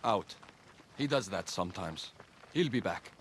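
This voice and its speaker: An actor playing a guarded but gentle young fugitive warrior in a video game, earnest and understated. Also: male voice, low voice, lively intonation, a little slow, slight background noise. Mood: wistful. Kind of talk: dramatic video game cutscene acting.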